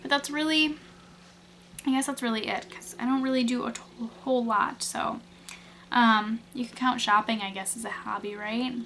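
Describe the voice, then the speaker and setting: A woman's voice: on the high side, fairly even intonation, moderate pace, a bit bright, slight background noise. A young woman from Wisconsin, chatting casually through tag questions, rambling with lots of fillers and restarts. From Wisconsin, USA. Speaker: beauty vlogger.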